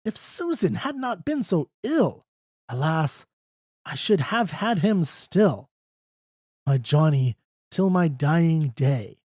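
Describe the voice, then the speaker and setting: A reader voicing a distraught older mother, pleading and wailing in high pitch. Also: very animated, slow, a little mellow, no room sound, clean studio sound. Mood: grieving.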